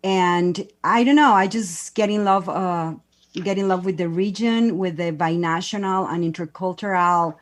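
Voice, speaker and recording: A woman's voice: on the low side, unhurried. A woman from Mexico City with a Mexican accent, speaking English as a second language and searching for words on a panel. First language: Spanish. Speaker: education and culture promoter. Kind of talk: panel discussion.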